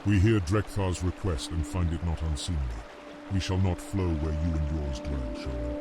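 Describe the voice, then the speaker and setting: A man's voice: low-pitched, moderate pace, loud music behind the voice, lightly acted. A man voicing an ancient, stern nature spirit in a fantasy game, with stately, incantatory phrasing. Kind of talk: fantasy game cutscene dialogue.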